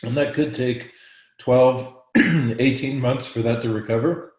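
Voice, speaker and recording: Hoarse man clearing his throat, roomy echo. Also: mid-range voice, fairly even intonation, darkish tone. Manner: frequent throat clearing, halting restarts. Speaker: real estate investor agent. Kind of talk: market update in a live panel.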